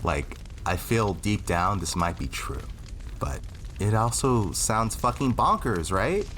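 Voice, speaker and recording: A man's voice: on the low side, slow pace, a little hiss or hum. A young man voicing an anxious, rambling teen. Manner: anxious, rambling, swearing, animated. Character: insecure young man doubting he is special. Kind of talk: audio drama acting.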